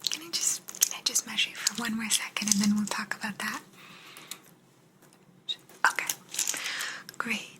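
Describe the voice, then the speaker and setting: A woman playing a soothing, softly bossy alien abductor in a hushed, breathy ASMR whisper roleplay. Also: medium pitch, steady tone, lightly acted. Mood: calm, clinical, attentive. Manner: slow, soothing, hushed, matter-of-fact.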